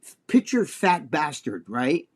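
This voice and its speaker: Profane, animated New Jersey man. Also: unhurried, breathy edge. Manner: animated, profane, acts out quotes in a high voice. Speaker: podcast co-host. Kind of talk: casual storytelling chat.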